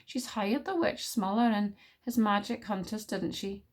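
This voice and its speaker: A woman's voice: medium pitch, measured pace, natural voice. A woman voicing a feisty, outspoken young warrior girl in an audiobook, speaking with forthright emphasis. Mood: dismayed. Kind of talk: audiobook character voice.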